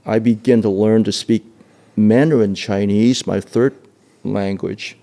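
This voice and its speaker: An older man from Hong Kong giving an oral history interview, speaking haltingly with frequent repeated words and laughs. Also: on the low side, steady tone, vocal fry, warm tone.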